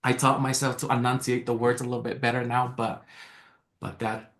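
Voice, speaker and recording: Excited man. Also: mid-range voice, a little fast. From American Samoa. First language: Tongan. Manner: excited, with many restarts and repeated words. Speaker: guest. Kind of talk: casual interview chat. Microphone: video call. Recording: echoey room on a call.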